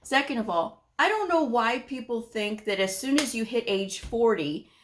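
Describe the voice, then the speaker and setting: A woman's voice: on the high side, lively intonation, normal speed, fairly bright tone, a little hiss or hum. A middle-aged woman hosting an advice vlog, talking frankly and emphatically, scolding at times. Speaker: medical coding vlogger.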